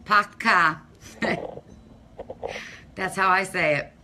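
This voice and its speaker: A middle-aged woman from Massachusetts with a non-rhotic local accent, chatting casually on a phone live stream from her home, loud and talking to viewers by name. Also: mid-range voice, extremely slow, background noise. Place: home, by a window. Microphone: phone held at arm's length.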